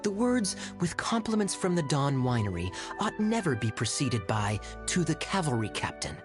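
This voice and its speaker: A male actor voices a silver-tongued young cavalry captain in a video game. He speaks at a middling pitch with very animated intonation, sly and playful, with music behind him. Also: normal speed, natural voice. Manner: smooth, playful, theatrically sly. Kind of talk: video game character dialogue.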